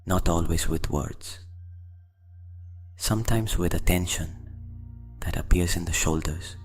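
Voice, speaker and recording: A man narrates a scripted guided meditation in slow, deliberate phrases with long pauses. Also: sits low, husky, faint music behind.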